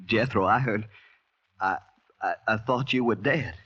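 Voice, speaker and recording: A man acting a proud Southern gentleman planter in an old-time radio drama, formal and earnest in manner. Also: on the low side, unhurried, slightly rough. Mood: dazed, uneasy. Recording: muffled vintage radio recording.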